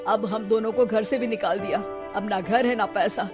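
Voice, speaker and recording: A woman's voice: mid-range voice, fairly even intonation, moderate pace, lightly acted. A woman voicing a distressed, regretful mother in a cartoon, plaintive and lamenting, with music underneath. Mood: bitter regret. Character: distressed, regretful mother who has lost everything. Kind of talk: melodramatic cartoon voice acting.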